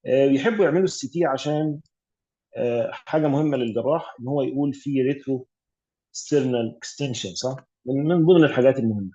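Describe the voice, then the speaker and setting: A man's voice: mid-range voice, fast talker, warm tone, breathy edge, lo-fi sound. An Egyptian man tutoring radiology cases over an online call, explaining step by step with many fillers and English medical terms. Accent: Egyptian Arabic. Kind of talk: online exam revision teaching.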